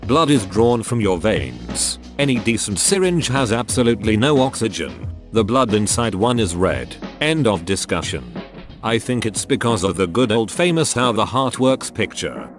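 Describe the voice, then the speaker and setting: A man narrating forum posts in a wry, scripted reading. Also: lowish voice. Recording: clear music bed underneath.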